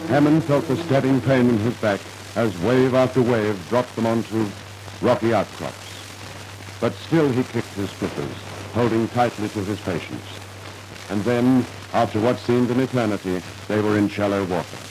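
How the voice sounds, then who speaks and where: A man's voice: fairly low pitch, moderate pace, noisy background. A man narrating a scripted 1950s radio drama in a formal, dramatic storytelling manner, on an old radio recording.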